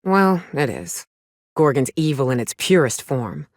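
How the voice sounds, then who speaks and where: A woman's voice: very deep voice, sing-song, unhurried, a little mellow, no echo, clean studio sound, exaggerated voice. A woman playing a weary, cynical but caring frontier woman in a video game, blunt and plainspoken with folksy, sweary talk. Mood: disillusioned. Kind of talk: video game character dialogue.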